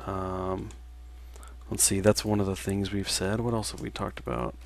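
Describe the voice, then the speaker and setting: A man in his mid-thirties talks through a casual gameplay stream in a somewhat low voice. He is relaxed and unhurried, often pausing on "um" as he answers viewer questions between moves. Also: vocal fry. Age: 30s. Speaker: livestreaming gamer and game developer. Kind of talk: casual livestream chat while playing.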